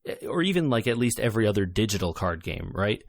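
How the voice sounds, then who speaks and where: A man's voice: lowish voice, big pitch swings, quick pace, no room sound. A man co-hosting a casual gaming podcast, talking emphatically and with exasperation, repeating words for stress. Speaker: podcast co-host.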